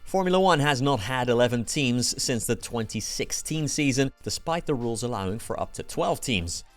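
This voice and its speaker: A man's voice: medium pitch, animated, moderate pace. A man presenting scripted sports news as the host of an online video. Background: background music.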